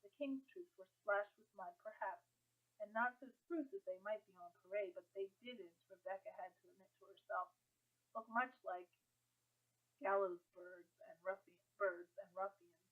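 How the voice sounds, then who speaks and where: A woman's voice: medium pitch, moderate pace, narrow, tinny audio. A woman reading a historical novel aloud as scripted audiobook narration.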